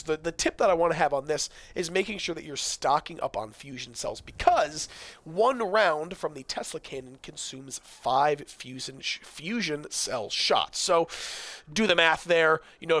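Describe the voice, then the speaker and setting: A young man hosting a casual game-tips video, talking straight to his viewers with lots of fillers, his voice growing hoarse. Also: fairly high pitch, fairly bright tone. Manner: chatty, addresses viewers directly, frequent fillers. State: losing his voice. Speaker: gaming video host.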